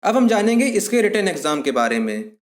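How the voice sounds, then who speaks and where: A man's voice: high voice, big pitch swings, brisk. A man giving an explanatory lesson as an exam-prep instructor, methodically restating points in simpler words.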